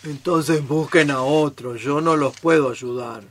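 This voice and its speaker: A man's voice: a little high, a little slow. A man playing a grumpy, sly, hungry crocodile in a children's radio play.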